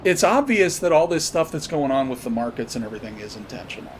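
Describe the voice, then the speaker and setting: A man hosting a podcast, giving unscripted political commentary in a blunt, profane, halting way with pauses and repeated words. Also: sing-song. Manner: halting, searching delivery with pauses and repetitions. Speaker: podcast host. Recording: noisy, with low rumble behind. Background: noise and rumble.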